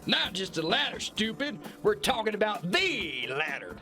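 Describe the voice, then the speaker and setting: Scornful alpha-male boss, high gravelly voice, wildly swooping delivery, theatrical bluster, music behind. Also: big pitch swings, normal speed. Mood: smug, scornful. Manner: blustering, condescending, mocking. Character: bullying, corrupt alpha-male kingpin boss, bombastic and scornful. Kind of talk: theatrical radio-play acting.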